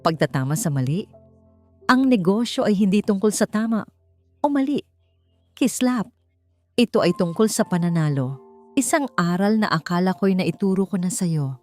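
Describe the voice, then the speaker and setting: A woman's voice: medium pitch, lively intonation, normal speed, long pauses, darkish tone, close to an everyday voice. Woman voicing a cold, domineering rich father, over music. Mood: mocking. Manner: cold, commanding, scornful. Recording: music bed behind the voice.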